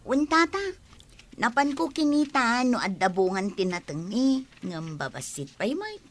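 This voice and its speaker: Actress as a lively, swooping Ilocano village woman. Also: fairly high pitch, measured pace, soft background music. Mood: cheerful. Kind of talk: Ilocano radio comedy drama dialogue.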